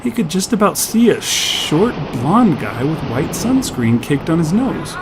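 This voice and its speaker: A man's voice: fairly high pitch, sing-song, measured pace, noisy background. A man narrating scripted fiction as a storyteller.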